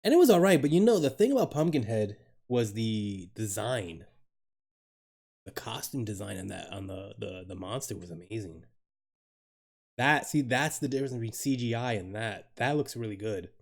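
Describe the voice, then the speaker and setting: A man's voice: lowish voice, expressive, moderate pace, darkish tone. Chatty, laughing male streamer. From Dominican Republic. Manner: rambling, laughs a lot, swears casually, talks to chat. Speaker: live streamer. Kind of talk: casual live-stream chat with viewers.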